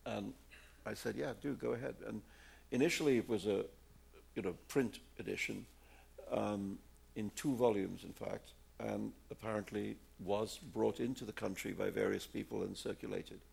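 Gravelly, husky older man. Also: fairly low pitch, fairly even intonation, normal speed, a little mellow. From India. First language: Urdu. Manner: rambling with many fillers and repeats. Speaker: author answering audience questions. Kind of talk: live audience Q&A.

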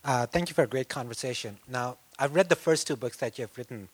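A man in the audience asking a two-part question, polite and formal, a little halting. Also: mid-range voice, husky.

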